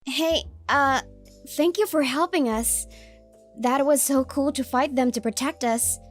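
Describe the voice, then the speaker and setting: High-pitched proud delinquent schoolgirl, acted by a woman. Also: unhurried. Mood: grateful. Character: proud, defiant teenage delinquent girl with soft side. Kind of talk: scripted manga dub acting. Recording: music bed behind voice.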